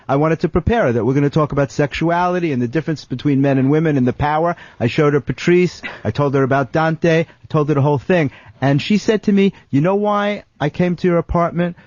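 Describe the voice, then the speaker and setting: Higher-voiced man explaining himself earnestly. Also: a little fast, few pauses, a little mellow, no echo. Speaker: talk show co-host or guest. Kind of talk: conversational storytelling on talk radio. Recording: dry, slightly dull lo-fi audio.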